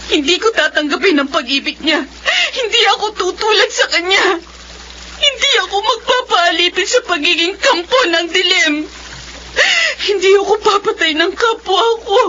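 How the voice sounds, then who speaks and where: Radio horror lead: cursed young woman, defiant, anguished, very high and sharp, slightly muffled. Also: moderate pace, slight background noise. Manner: tearful, melodramatic. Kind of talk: Filipino radio horror drama acting.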